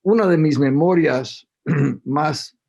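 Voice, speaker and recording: A man's voice: on the high side, takes it slowly, creaky, darkish tone, muffled recording. A middle-aged man from Costa Rica, a panel guest reminiscing in a reflective discussion, with hesitant restarts.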